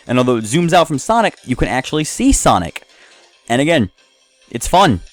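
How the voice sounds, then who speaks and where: A man's voice: medium pitch, very animated, brisk. Male gameplay host, mid-range voice, loose rambling commentary full of tangents, music playing behind him. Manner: rambling, digressive, jumps between asides. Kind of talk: casual off-the-cuff gameplay commentary. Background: game music.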